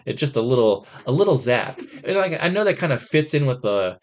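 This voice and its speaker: An American man co-hosting a podcast, reviewing films in casual chat with animated, incredulous rants, mock quotes and swearing. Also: medium pitch, brisk, slight background noise. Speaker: podcast co-host.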